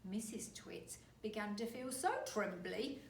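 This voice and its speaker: A woman reading a children's story aloud like a teacher, with dramatic, deliberate emphasis. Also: mid-range voice, gravelly. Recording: roomy, distant mic, faint noise.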